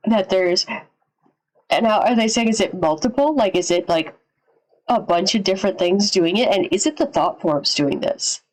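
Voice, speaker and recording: A woman giving casual live reaction commentary as a streamer, informal and sweary as she thinks out loud. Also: mid-range voice, normal speed, poor audio. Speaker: streamer reacting to and analysing horror videos.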